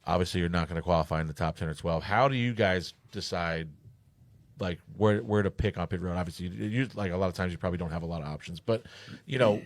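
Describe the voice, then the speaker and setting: Male podcast host, low gravelly voice, close dry sound, loose sports banter. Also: fast talker, no echo. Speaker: podcast host and racing spotter. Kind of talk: casual sports podcast chat.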